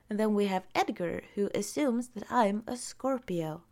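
Norwegian woman vlogger, slow and unhurried, soft giggly delivery reading comments. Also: medium pitch, lively intonation. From Norway.

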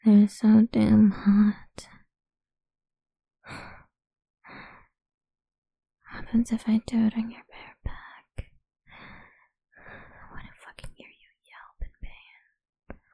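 A woman's voice: medium pitch, completely flat delivery, extremely slow, vocal fry, pauses often, husky, no echo, highs cut off, full character voice. A woman voicing a cruel, domineering woman who taunts in a crude, menacing whisper in an audio roleplay.

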